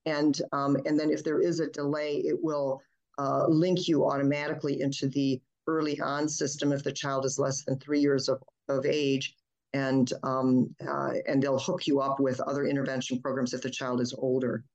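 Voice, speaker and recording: A woman's voice: a bass voice, level tone, moderate pace, a little mellow, lo-fi sound. A woman pediatrician from Michigan, German as her first language, explaining child development in an interview with many ums and restarts. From Michigan, USA. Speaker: pediatrician guest.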